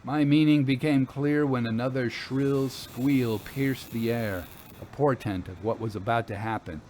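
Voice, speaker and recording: A male storyteller reads a ghost tale with deliberate, dramatic phrasing. His voice sits at a moderate pitch, with noticeable noise in the background. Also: a little mellow. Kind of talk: scripted first-person ghost story narration.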